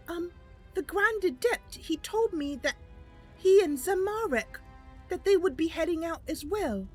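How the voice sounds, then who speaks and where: Woman acting young female wood elf, high lilting pitch, timid, earnest, hesitant, polite, fantasy game roleplay, music behind.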